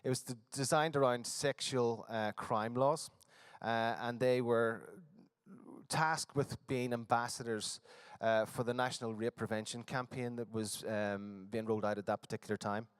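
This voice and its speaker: A man's voice: medium pitch, steady tone, moderate pace, smooth, no echo. A man from Northern Ireland with a Northern Irish accent, giving a workshop presentation at a conference with frequent fillers and restarts. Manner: hesitant, many fillers and restarts. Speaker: conference speaker. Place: conference hall.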